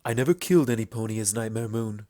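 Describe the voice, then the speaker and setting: A man voicing a solemn, guilt-burdened pony princess in a storybook reading, speaking formally and gravely in measured phrases. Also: fairly low pitch, mellow, no echo, natural voice. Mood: grave, intense. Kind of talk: fan fiction storybook reading.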